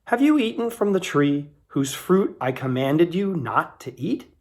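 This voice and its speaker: A man's voice: a little high, very animated, unhurried, voice pushed up. A man voicing a stern, commanding God in a scripture reading, emphatic and accusing in manner. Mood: demanding, stern. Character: God, stern commanding male deity passing judgment.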